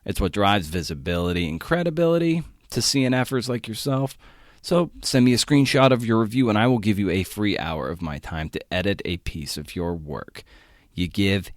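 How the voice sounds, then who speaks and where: Low-voiced podcast host, dry sound. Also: male voice, no echo. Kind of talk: podcast hosting.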